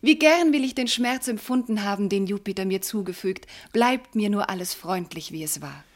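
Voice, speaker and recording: An actress playing a devoted, anguished noble wife in a classical radio drama, declaiming formal verse with fervour. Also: female voice, medium pitch, moderate pace, close to an everyday voice. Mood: tender relief. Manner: declamatory, fervent, formal diction. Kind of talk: classical German stage verse in a radio play.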